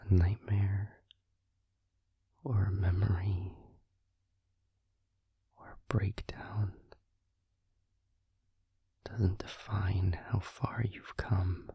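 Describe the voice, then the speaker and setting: A man playing a gentle, supportive vampire boyfriend in an intimate ASMR roleplay, in a breathy, soothing whisper with pauses. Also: low-pitched, flat delivery, dry recording, dull audio, full character voice. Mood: tender, reassuring. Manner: soft, soothing, hesitant pauses.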